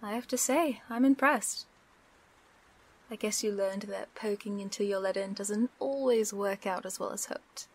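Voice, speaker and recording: A woman's voice: medium pitch, unhurried, a little mellow, husky. A British woman playing a sly, teasing supervillain in an audio roleplay, wry, knowing and probing. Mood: wry, confident.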